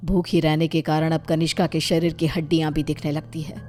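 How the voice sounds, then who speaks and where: Woman telling a story, low voice, pretty flat, bit of music behind. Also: brisk. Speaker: narrator. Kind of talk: scripted story narration.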